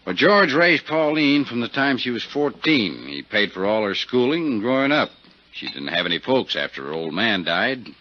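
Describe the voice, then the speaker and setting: An actor playing a folksy, easygoing older small-town Western sheriff in a 1950s radio drama. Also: male voice, mid-range voice, lively intonation, normal speed, lightly acted. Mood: frank, reflective. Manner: folksy, drawling out hesitations. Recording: dull 1950s radio recording.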